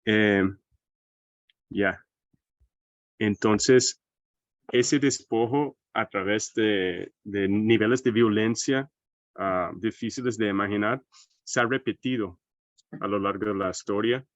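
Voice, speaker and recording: A man's voice: on the low side, slow pace, long pauses, dry recording. American man lecturing in Spanish over a call. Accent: American English. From United States. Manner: thoughtful, often tags phrases with a questioning aside. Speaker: seminar speaker. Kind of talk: online lecture presentation. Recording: dry, dull lo-fi call audio.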